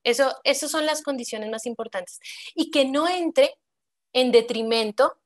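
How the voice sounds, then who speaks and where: A woman giving a measured, explanatory answer on a conference panel. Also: high voice. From Colombia.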